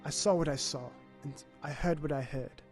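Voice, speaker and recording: A grave Victorian gentleman doctor voiced by a male actor tells of horror in an audio drama. His voice sits a little high, formal and dramatic, shaken by what he recounts. Soft music plays behind him. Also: close to an everyday voice. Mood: shaken, despairing. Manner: formal, dramatic, measured storytelling.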